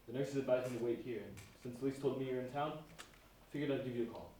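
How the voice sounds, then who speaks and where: A male performer playing a stressed, defensive husband with a hurried, dismissive manner in an amateur stage play. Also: mid-range voice, dark tone, lightly acted. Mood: strained. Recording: very echoey stage, distant mic, faint noise.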